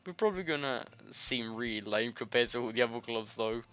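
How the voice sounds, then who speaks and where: A man's voice: mid-range voice, lively intonation, a little fast, fairly bright tone. A man playing a game on a recording, reading its dialogue aloud and adding crude, jokey, informal asides. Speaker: gamer doing a let's play. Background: game music.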